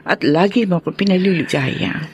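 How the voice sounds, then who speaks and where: A woman's voice: fairly low pitch, normal speed, a little hiss or hum, natural voice. A Filipino woman playing a selfish, brash middle-aged mother in a radio drama. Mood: sweet, doting. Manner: brash, dismissive. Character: selfish middle-aged mother, doting on her lover, snappish with daughter.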